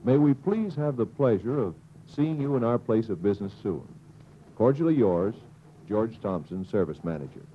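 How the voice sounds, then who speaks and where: A man's voice: fairly low pitch, animated, normal speed. A man reading a formal letter aloud, polite and businesslike, on a dull old film soundtrack with faint hiss.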